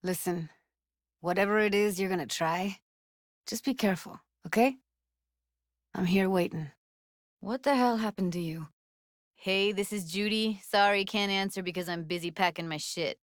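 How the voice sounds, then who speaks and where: A woman's voice: mid-range voice, normal speed, pauses often, no room sound, clean studio sound, close to an everyday voice. A woman playing a guarded, blunt but tender young techie in a video game, speaking in a casual, clipped, streetwise way. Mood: wry, tense. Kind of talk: naturalistic video game voice acting.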